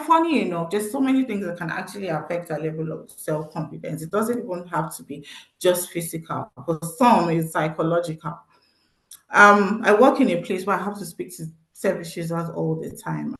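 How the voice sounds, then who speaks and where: A woman's voice: fairly low pitch, fairly bright tone, roomy sound, poor audio. A woman with a Nigerian accent giving an earnest, encouraging motivational talk to teenagers as a social worker at an event. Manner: earnest, encouraging, repeats phrases for emphasis. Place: event venue.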